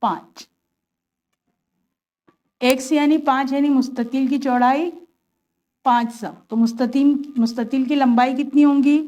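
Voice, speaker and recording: A woman's voice: high voice, fairly even intonation, a little slow, long pauses, darkish tone. Woman teaching a maths lesson, patient. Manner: patient, step-by-step, asks and answers her own questions. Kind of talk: classroom lesson, explaining worked examples.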